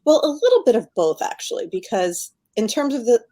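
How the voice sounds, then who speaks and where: A woman's voice: a little high, big pitch swings, brisk, dark tone. A middle-aged woman giving casual, enthusiastic and effusive answers as an author being interviewed. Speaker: author guest.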